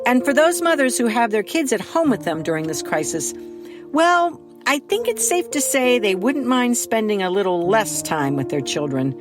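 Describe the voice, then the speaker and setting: A middle-aged woman, an author, earnestly introducing an audio drama episode with a measured, scripted delivery. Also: a little high, big pitch swings. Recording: music under the voice.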